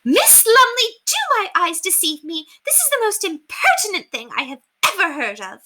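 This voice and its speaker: A snappish, imperious noblewoman on the edge of hysterics, as a woman reader acts her out. Her voice shoots very high and swings widely, with a bright, piercing edge, in storybook style. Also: very animated, moderate pace, exaggerated voice. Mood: furious. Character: haughty, hysterical aristocratic lady, snappish and imperious. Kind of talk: children's storybook read aloud.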